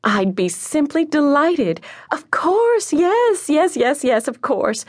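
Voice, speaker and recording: Storybook housewife mother, acted by a female narrator: high, swooping, chirpy, sugary and fluttery. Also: big pitch swings, takes it slowly, slightly rough, no echo, clean studio sound, exaggerated voice. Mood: gushing, excited. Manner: chirpy, effusive, over-sweet.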